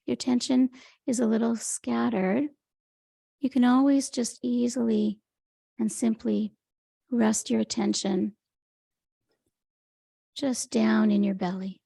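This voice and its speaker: Soft, creaky woman guiding meditation. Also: a little high, steady tone, no echo, clean studio sound. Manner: gentle, unhurried, soothing instruction. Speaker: meditation teacher. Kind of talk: guided meditation, Yoga Nidra.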